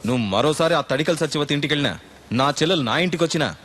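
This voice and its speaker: An actor playing a bossy, impatient village elder in a film comedy, sternly scolding. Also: male voice, fairly high pitch, racing, fairly bright tone. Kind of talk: Telugu film comedy acting.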